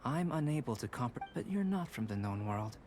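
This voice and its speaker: A man voicing a young alchemist scholar in a video game, measured, formal, curious and detached. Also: mid-range voice, very animated, darkish tone, slight background noise, close to an everyday voice. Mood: intrigued. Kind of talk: video game character dialogue. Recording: music behind the voice.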